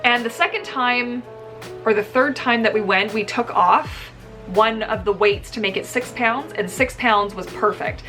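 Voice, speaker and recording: A woman in her thirties telling a personal story casually to camera in an animated, emphatic way, recorded in a room at home. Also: a little high, unhurried, faint music behind. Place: small indoor room at home.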